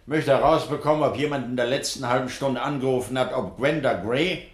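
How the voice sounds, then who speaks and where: An actor playing a scheming middle-aged doctor who snaps harshly and gives orders, in a 1960s radio drama. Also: male voice, medium pitch, a little fast, close to an everyday voice. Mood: calculating. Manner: controlled, turns harsh and commanding.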